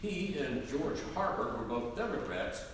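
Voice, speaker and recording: A man's voice: fairly high pitch, sing-song, measured pace. A man narrating scripted history as part of a staged reading. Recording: echoey noisy hall, distant mic.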